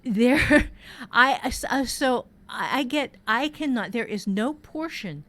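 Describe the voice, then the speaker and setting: A woman's voice: fairly high pitch, lively intonation, slow, a bit bright. Candid woman chatting on a podcast. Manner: candid, informal, swearing, emphatic. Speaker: podcast host and workshop teacher. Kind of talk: casual workshop chat and personal storytelling.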